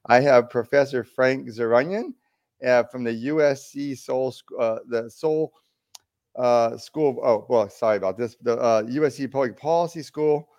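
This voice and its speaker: A man's voice: mid-range voice, normal speed, darkish tone. Enthusiastic male podcast host. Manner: enthusiastic, apologetic, eager questions. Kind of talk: interview hosting.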